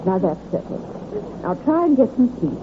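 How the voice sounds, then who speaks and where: An actress playing a composed, genteel mistress of the house with measured, clipped speech, in an old radio drama. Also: female voice, medium pitch, big pitch swings, lightly acted. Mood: soothing. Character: genteel lady of the house, composed, quietly wary. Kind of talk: 1950s radio drama acting. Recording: tinny, hissy old radio recording.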